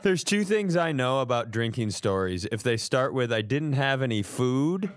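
A man's voice: mid-range voice, animated, moderate pace. A man co-hosting with casual comic banter, dropping wry asides and reactions. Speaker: podcast co-host.

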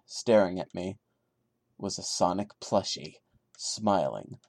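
A man voicing the horrified young male narrator of a creepypasta, reading it dramatically in a grave, deliberate way. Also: low-pitched, a little mellow, dry recording, clean studio sound, pitched below the performer's own voice.

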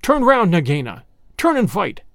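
Storybook mongoose hero, male, acted by a narrator: bold, challenging, exclamatory, with lively swings in pitch. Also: normal speed, no room sound, clean studio sound. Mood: defiant. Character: bold, fierce, taunting young male mongoose fighter.

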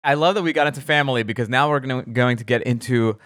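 A man's voice: mid-range voice, fast talker, fairly bright tone, dry recording, studio quality. A man hosting a podcast, chatting playfully with his guest and laughing often. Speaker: podcast host. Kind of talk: casual podcast chat.

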